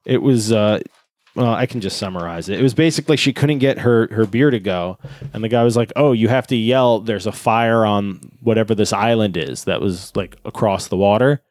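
Man, casual co-host type, low-pitched, rambling nerdy talker, dry close sound. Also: normal speed, darkish tone, dry recording. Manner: rambling, nerdy, swears casually, many fillers. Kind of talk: casual chat.

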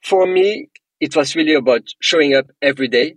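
French-accented man, husky and dry. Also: a little high, animated, a little fast, dry recording, rough recording. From Belgium. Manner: earnest, motivational, with non-native grammar slips. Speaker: startup co-founder, guest. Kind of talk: podcast interview answers.

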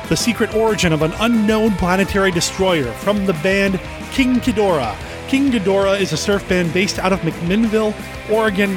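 Nasal male podcast host with a cold. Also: high-pitched. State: head cold. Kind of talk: enthusiastic podcast intro. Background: music.

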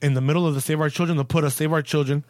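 An American man in casual podcast chat, talking haltingly with many fillers and swearing. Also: fairly high pitch, steady tone, no room sound, clean studio sound. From United States. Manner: halting, full of fillers and restarts, profane.

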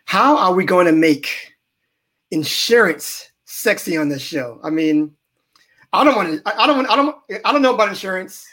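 A man's voice: high voice, animated, moderate pace, bright, forceful tone. A man hosting an interview podcast, asking rambling questions in a playful, joking way. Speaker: podcast host.

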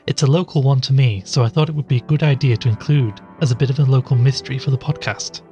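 A man playing a podcast host who investigates mysteries, presenting in a measured, explanatory way in an audio drama. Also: mid-range voice, dark tone, faint music behind, lightly acted. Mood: measured, intrigued.

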